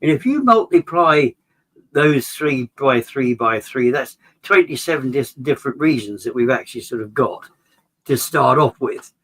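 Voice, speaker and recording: A man's voice: medium pitch, expressive, normal speed, fairly bright tone. A man talking at length in a conversational way, rambling with many restarts and "sort of" fillers, as the chair of an association. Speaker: association chair, regular guest. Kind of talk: conversational explanation.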